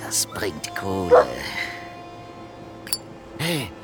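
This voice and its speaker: An actor playing a talkative, sly homeless beggar in a radio crime drama, speaking colloquially and theatrically. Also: male voice, low voice, sing-song, very slow, over loud music, exaggerated voice. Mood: gleeful scheming.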